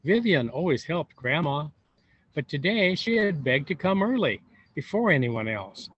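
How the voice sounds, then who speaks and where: A man reading a storybook aloud to young children, expressive and unhurried, pausing for wondering asides. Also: fairly high pitch, breathy edge. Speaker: volunteer storybook reader. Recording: roomy echo, dull lo-fi sound.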